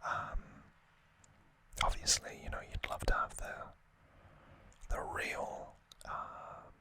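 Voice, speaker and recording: A man hosting an ASMR unboxing, speaking in a soft, breathy whisper with an unhurried, musing manner. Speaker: ASMR video host.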